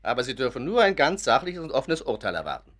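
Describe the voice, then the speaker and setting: Radio drama doctor: measured and factual, courteous yet blunt, wide pitch swings. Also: male voice, very animated, fairly bright tone. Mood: polite, businesslike. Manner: measured, matter-of-fact, self-assured. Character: polite, blunt doctor, crusader against illusions. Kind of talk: German radio drama acting.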